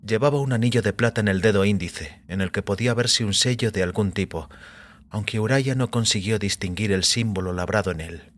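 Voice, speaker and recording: A man's voice: fairly low pitch, a little fast. A man giving a scripted, dramatic literary narration for an audio story. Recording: background music under voice.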